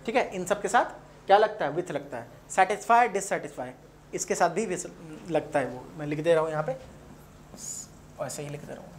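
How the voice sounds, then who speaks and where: A man's voice: fairly high pitch, lively intonation, moderate pace, slight background noise. A man with an Indian accent teaching English grammar in an informal lecture, explaining with example sentences and repeating key points. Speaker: English grammar teacher at an exam coaching class.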